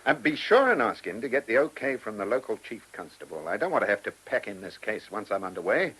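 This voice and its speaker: An actor in a radio play voicing a brusque, commanding chief inspector who questions with brisk authority, his voice slightly husky. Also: fairly low pitch, big pitch swings, fairly bright tone, full character voice, poor audio. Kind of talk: radio drama acting. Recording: hissy old radio recording.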